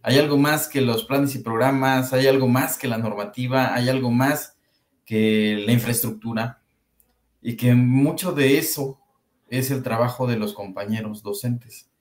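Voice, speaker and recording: A thoughtful Mexican man reflecting on his work as a school supervisor, stacking his points through repetition. His voice sits at a middle pitch and rings in a noticeably echoey room. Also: fairly even intonation, a little slow. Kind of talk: reflective panel conversation.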